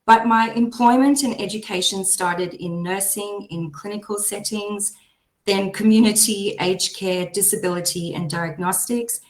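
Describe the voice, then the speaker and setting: A hoarse woman gives a chatty webinar presentation over a video call. Her voice sits at a mid pitch. The room around her is echoey. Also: unhurried. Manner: chatty, laughs easily. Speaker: presenter, behaviour support practitioner.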